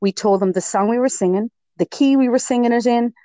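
Woman, low voice, chatty storyteller, interview guest, dry close sound. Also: brisk, warm tone, dry recording. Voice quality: lower voice for a woman. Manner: chatty storytelling with self-quotes and laughs. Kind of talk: casual interview chat.

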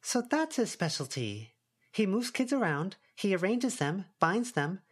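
An inquisitive teenage schoolgirl, put on by a male reader, pitched up and lilting. She probes eagerly, like a character in an audiobook story. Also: big pitch swings, a little slow, breathy edge, full character voice. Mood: probing, curious.

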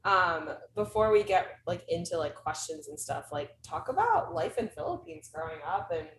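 A young woman co-hosts a casual student podcast, friendly and quick with small affirmations as the guest talks. Her voice is fairly low for a woman and sounds a little away from the mic in an echoey room with faint hiss. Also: big pitch swings, recorded from a distance. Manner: friendly, frequent backchannel affirmations. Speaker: podcast co-host.

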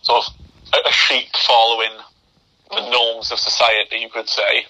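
A young man with a very bright, sharp voice talks as a podcast guest. He stammers, restarting and blocking on words, and the audio comes through a remote call. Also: mid-range voice, level tone, moderate pace, low-quality recording. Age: young adult. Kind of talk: interview conversation.

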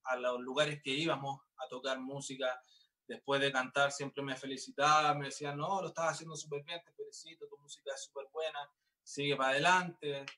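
A man's voice: mid-range voice, little pitch movement, fairly quick, pushed and bright. A young man, a singer chatting in an interview, upbeat and full of fillers and restarts. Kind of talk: casual interview chat.

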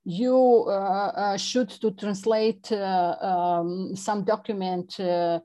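A Serbian woman answers interview questions in English, her second language, pausing often with fillers and restarts. She speaks slowly. Her voice is soft and mellow, never sharp. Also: mid-range voice, fairly even intonation. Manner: halting, many fillers and restarts. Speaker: interview guest, chapter representative. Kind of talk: non-native conversational English interview.

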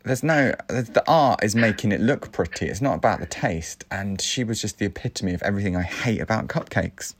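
A man's voice: on the low side, animated, a little fast, hardly any pauses. A man in his thirties co-hosting a casual radio chat, opinionated and ranting, with frequent restarts. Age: 30s. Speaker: radio show co-host.

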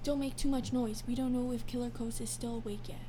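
A woman's voice: fairly high pitch, fairly even intonation, brisk, warm tone, a little hiss or hum, natural voice. A woman playing a cruise passenger who takes the lead, in an amateur audio drama. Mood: urgent. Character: friendly female cruise passenger, quick to lead.

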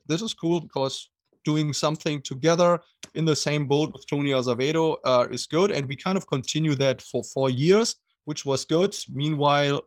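A man's voice: fairly high pitch, no echo. A middle-aged man with a German accent, a water polo coach talking in a casual interview, hesitant with many fillers and restarts.

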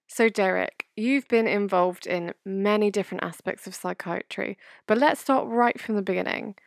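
A woman's voice: medium pitch, gravelly. A young woman, a medical student, hosting an interview and asking earnest, polite questions. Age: young adult. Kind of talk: podcast interview.

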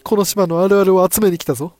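A man's voice: high voice, steady tone, quick pace, a little mellow, soft background music, close to an everyday voice. A man playing an arrogant, threatening aristocrat invader who talks in pompous, archaic lordly phrases. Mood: haughty.

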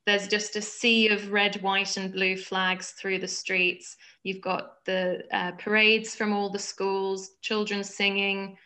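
A woman's voice: medium pitch, level tone, takes it slowly, few pauses. A woman from Norway telling stories of her childhood, chatty, with frequent fillers and laughs, talking on a video call. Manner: warm storytelling with fillers and laughs. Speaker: guest.

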